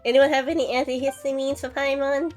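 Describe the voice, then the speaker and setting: A woman's voice: sits high, level tone, fairly quick, a little mellow, faint music behind. A woman livestreaming a game, giving casual, chatty commentary and reacting aloud to what happens. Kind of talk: casual live gameplay commentary.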